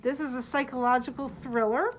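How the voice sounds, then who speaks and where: A woman's voice: high voice, steady tone, brisk, a little hiss or hum, poor audio. A woman librarian recommending books, partly reading their summaries aloud. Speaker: librarian presenting book picks.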